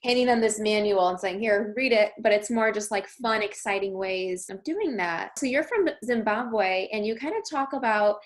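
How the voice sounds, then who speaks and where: A woman's voice: mid-range voice, lively intonation, moderate pace, hardly any pauses. A woman hosting a podcast interview, talking in an upbeat, chatty way. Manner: upbeat, chatty, many fillers like "like". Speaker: podcast host.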